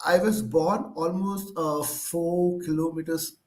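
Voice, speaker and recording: Excitable young man, slow talker. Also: on the high side, soft background music. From India. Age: 20s. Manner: excitable, laughs often, repeats himself. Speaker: panel guest. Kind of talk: casual online panel chat.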